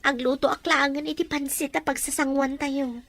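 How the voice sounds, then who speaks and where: A woman's voice: sits high, steady tone, moderate pace, fairly bright tone, no room sound. A woman playing an emotional, anxious wife in an audio drama, speaking in a nagging, confrontational way. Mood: everyday domestic. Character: jealous, anxious wife pleading over household money. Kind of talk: amateur audio drama dialogue.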